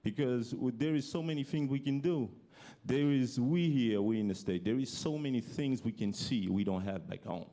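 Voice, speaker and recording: A man with a French accent, giving a halting public speech and often restarting his sentences as he searches for words in English. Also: medium pitch, mellow. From French-speaking African country. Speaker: forum speaker.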